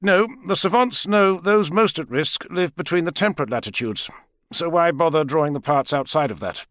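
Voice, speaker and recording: A man's voice: on the high side, normal speed, a bit bright, dry recording, clean studio sound, voice pushed up, lightly acted. A man voicing an assured male doctor who explains things confidently in an audiobook. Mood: assured, explaining.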